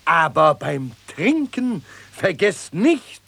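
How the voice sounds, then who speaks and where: A blustering old winegrower, wildly animated. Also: male voice, on the high side, slow pace, creaky, full character voice. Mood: jubilant. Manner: theatrical declamation, blustering then coaxing. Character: earthy, jovial old winegrower and father, bossy. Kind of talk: 1960s radio play acting.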